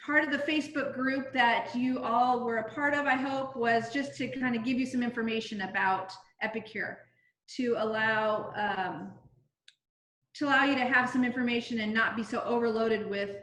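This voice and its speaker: A middle-aged woman from Southern California runs a casual home cooking demonstration over a video call, her voice sitting a little high. She keeps it chatty and informal, full of ums and restarts. The call audio carries a noticeable roomy echo. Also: a bit bright. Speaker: direct-sales cooking consultant.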